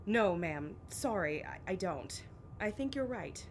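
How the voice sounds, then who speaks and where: A woman's voice: animated, unhurried. An actress playing a sensible, no-nonsense wife and mother in an audio drama, firm and reasoning. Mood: diplomatic. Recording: faint noise with low rumble.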